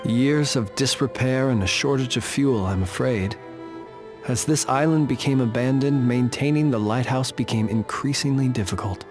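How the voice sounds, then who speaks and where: Courteous, understated keeper of a ghostly isle, played by a man; mid-pitched, formal game dialogue over soft music. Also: natural voice. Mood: solemn, reflective. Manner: formal, polite, measured, wryly understated. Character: courteous, reserved male keeper of a ghost-haunted lighthouse isle. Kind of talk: scripted video game character dialogue. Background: background music.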